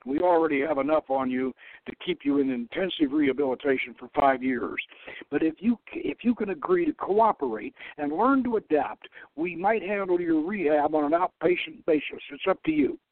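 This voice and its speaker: Through a thin, phone-like band comes a cold cyber bureaucrat, acted out by a man reading a novel aloud. He talks down in a sardonic, officious lecture, in a slightly high male voice. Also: moderate pace, dry recording, lightly acted. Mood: menacing. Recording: narrowband radio-like sound, dry.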